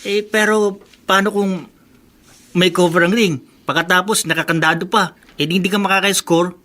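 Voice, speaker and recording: A wisecracking young sidekick in a radio drama. His voice is high and sharp, needling and full of slang. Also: steady tone, normal speed. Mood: teasing. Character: teasing, wisecracking young male buddy. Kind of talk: Filipino radio drama acting.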